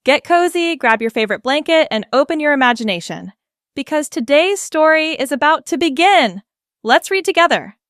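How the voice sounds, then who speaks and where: A woman's voice: high voice, animated, moderate pace, fairly bright tone, no echo, clean studio sound. A woman reading a storybook aloud for young children, expressively and in simple phrases, as the host. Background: children's music with jingles.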